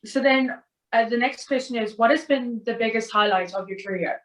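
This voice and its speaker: A woman's voice: fairly high pitch, fairly quick, a bit bright. A woman with a South African accent, asking interview questions in a chatty way, with lots of "like". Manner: chatty, heavy use of "like". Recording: echoey room.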